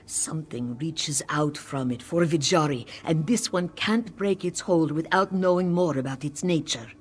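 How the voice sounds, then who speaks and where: A man voicing a solemn cat-folk mystic in a video game, giving measured, urgent guidance. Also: fairly high pitch, slightly rough. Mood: grave urgency. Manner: grave, measured, instructive. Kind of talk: scripted video game quest dialogue.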